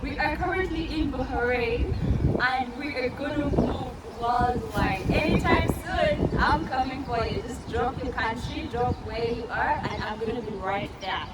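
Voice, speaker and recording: A young Ugandan woman with a Ugandan accent hosting a casual interview, chatty and lively, calling her viewers guys. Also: fairly high pitch, a little slow. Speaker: interview host. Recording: echoey room, distant mic, loud background noise.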